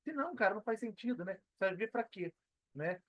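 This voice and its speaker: A man's voice: high-pitched, lively intonation, fast talker, dry recording, lo-fi sound. A Brazilian man in his thirties talking casually as a podcast guest, conversational and often checking for agreement with slangy tags. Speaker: podcast guest, personal trainer and affiliate marketer. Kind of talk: casual podcast interview talk.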